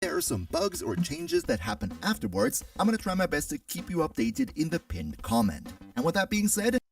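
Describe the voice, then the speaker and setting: A man's voice: high-pitched, huge pitch swings, a little fast, creaky, faint music behind. A man narrating a scripted game guide in an upbeat, enthusiastic explainer manner.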